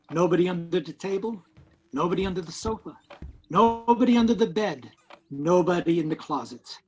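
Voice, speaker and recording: An actor as the narrator of an audio play, telling the tale in a dramatic scripted storytelling style. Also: male voice, a little high, a bit bright, a little hiss or hum, rough recording. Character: male storyteller of a Christmas ghost tale.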